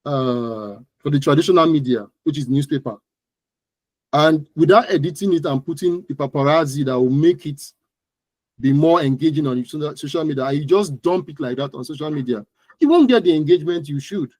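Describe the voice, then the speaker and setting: A man's voice: fairly high pitch, brisk, darkish tone, dry recording. A man with a Nigerian accent, an expert guest explaining informally and repeating phrases for emphasis. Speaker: guest expert, digital marketer. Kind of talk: informal explanatory interview talk.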